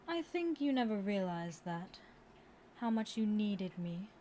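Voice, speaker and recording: A woman's voice: mid-range voice, mellow, slight background noise, natural voice. A woman playing a seductive, condescending immortal Demon Queen in a theatrical, taunting audio roleplay monologue. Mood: possessive, taunting.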